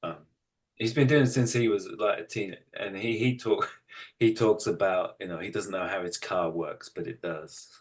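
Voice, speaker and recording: Low-voiced British man, matter-of-fact. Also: normal speed. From United Kingdom. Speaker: interview guest, energy practitioner and massage therapist. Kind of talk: casual conversational explanation.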